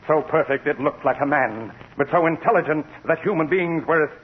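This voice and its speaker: A man acting as a cold, megalomaniac humanlike robot in a 1940s radio drama, speaking in a measured, formal, menacing way. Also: fairly high pitch, natural voice. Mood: sinister. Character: cold, megalomaniac male humanlike robot bent on world mastery. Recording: tinny, noisy 1940s radio recording.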